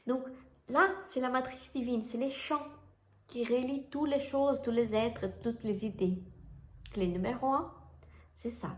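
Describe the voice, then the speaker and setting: A woman's voice: fairly high pitch, unhurried, pauses often, slight background noise. A woman from Brazil with a Brazilian Portuguese accent, a Reiki teacher whose first language is Portuguese, talking enthusiastically about a book and often restarting as she searches for words. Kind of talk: casual book talk to camera. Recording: roomy echoey room.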